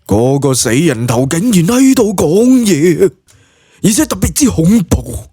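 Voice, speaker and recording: A man's voice: on the high side, big pitch swings, measured pace, mellow, breathy edge, dry recording, clean studio sound. A man with a Cantonese accent narrating an audiobook story in a dramatic, colloquial storytelling style.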